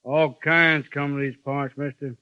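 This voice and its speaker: An actor playing a genial but menacing frontier town boss with a folksy drawl in a 1950s radio western. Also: male voice, fairly high pitch, little pitch movement, a little slow, pushed and bright, clear, resonant tone, no echo. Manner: folksy drawl with a veiled threat. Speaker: town boss who lays down the law. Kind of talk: 1950s radio drama acting. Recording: dry, dull old radio broadcast.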